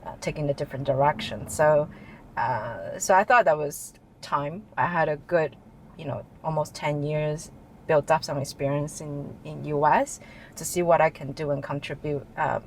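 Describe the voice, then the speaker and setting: A middle-aged woman from Taiwan, answering interview questions in a relaxed way, often restarting sentences and joking. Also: sits low, vocal fry, slight background noise, low-quality recording. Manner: hesitant, restarts often, jokes and laughs. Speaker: guest, tech executive. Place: office in Shanghai.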